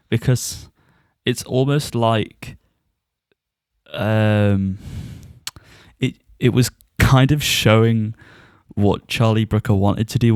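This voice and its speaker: A man's voice: medium pitch, darkish tone, dry recording, studio quality. A man co-hosting a podcast, giving a casual review and often chuckling as he talks. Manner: chuckles often while talking.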